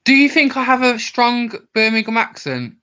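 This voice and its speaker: A young man with a West Midlands twang rambles through a livestream while drunk. He answers viewers' comments aloud in loose, chatty bursts. His voice is bright and forceful. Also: extremely high pitch, sing-song, moderate pace, smooth. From Worcestershire, England. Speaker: livestream host. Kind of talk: casual livestream chat with viewers.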